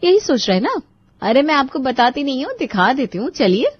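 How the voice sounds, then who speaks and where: A woman's voice: high-pitched, sing-song, moderate pace, husky, dry recording, highs cut off, heavily acted. A woman playing a resentful, put-upon young sister-in-law in a dramatized family story, sarcastic and grudgingly polite. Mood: confiding. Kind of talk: dramatized storytelling with asides to listeners.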